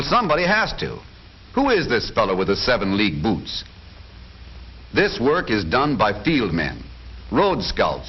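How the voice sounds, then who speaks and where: A man's voice: mid-range voice, dull audio. An American man narrating a 1940s educational documentary in a scripted, jaunty, folksy announcer style on a vintage film soundtrack.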